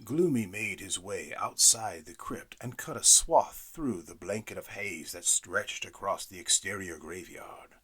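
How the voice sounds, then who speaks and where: A man reading a spooky storybook narration in a playful, expressive way. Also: sits low, measured pace, few pauses, breathy edge.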